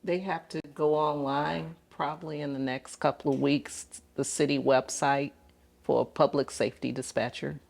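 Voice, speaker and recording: A woman with pronounced vocal fry speaks as an interview guest from police dispatch. Her answers are plain, conversational and matter-of-fact. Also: sits low, steady tone, moderate pace, a little mellow.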